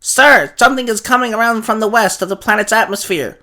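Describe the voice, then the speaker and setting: A man performs an obedient robotic assistant for a sci-fi story. The voice is pitched up high and loud, with a formal, dutiful way of reporting. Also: fairly quick, vocal fry, exaggerated voice. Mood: urgent report.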